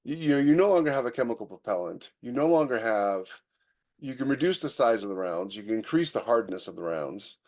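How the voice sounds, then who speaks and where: A man with a mid-pitched voice chats as a podcast co-host. His delivery is informal and conversational, as if thinking aloud while he explains technical ideas. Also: expressive, brisk, a little mellow. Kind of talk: casual conversational tech chat.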